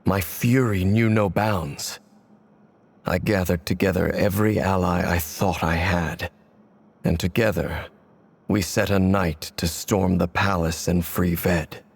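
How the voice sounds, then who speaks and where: Brooding, vengeful game wanderer; deep, grave, haunted, measured dramatic delivery. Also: male voice, steady tone, vocal fry, husky, full character voice. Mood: bitter. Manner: solemn, measured, dramatic storytelling. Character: brooding vengeful man haunted by centuries-old betrayal. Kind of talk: dramatic video game voice acting.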